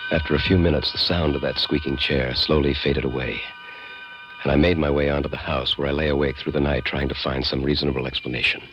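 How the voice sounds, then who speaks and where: Very deep, grave radio storyteller. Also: male voice, flat delivery, background noise. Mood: brooding. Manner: deliberate, dramatic, ominous. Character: grave, haunted man narrating his own eerie experience. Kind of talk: 1940s-60s radio suspense acting. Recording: muffled vintage radio recording with music.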